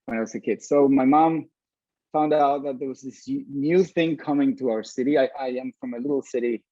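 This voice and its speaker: A man from Venezuela talking casually as a musician being interviewed, pausing often mid-sentence. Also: mellow. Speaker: orchestra violinist, interview guest. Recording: dull, roomy low-fidelity sound.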